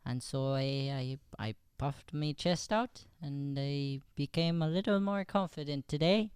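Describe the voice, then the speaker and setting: Man as Irish schoolboy, socially anxious, stammering, very clear tone, radio comedy. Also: a little high, unhurried, a little mellow, no echo, studio quality, natural voice. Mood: earnest, shy. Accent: Irish, put on. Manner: hesitant, stammering. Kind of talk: improvised old-time radio comedy play.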